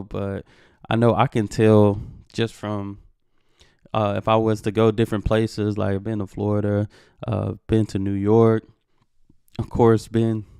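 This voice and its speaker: A Texan man hosting a reaction video, talking loosely and rambling with lots of ums and you-knows. His low voice has a gravelly edge, yet it comes through clean and resonant. The sound is close and dry. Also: mellow, dry recording. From Texas, USA. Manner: rambling, chatty, lots of fillers. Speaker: reaction channel host. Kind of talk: casual reaction commentary.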